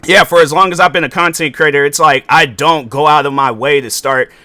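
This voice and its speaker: A man's voice: a little high, fairly even intonation, brisk, bright, forceful tone. A man, giving a rambling casual commentary on his podcast with many fillers and restarts. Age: 20s. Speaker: podcast host.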